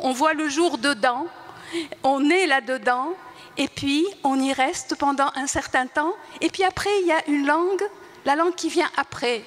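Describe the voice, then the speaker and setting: An older woman born in Tunisia whose first language is Arabic, speaking at a panel talk and reflecting in a halting way, often searching for words and restarting. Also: high-pitched, fairly bright tone. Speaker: writer on a panel. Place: large hall. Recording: reverberant hall.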